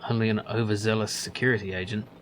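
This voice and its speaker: A man voicing a quick-witted young male fugitive posing as an inspector, asking calm, dry questions. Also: lowish voice, slight background noise, close to an everyday voice, rough recording. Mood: cool.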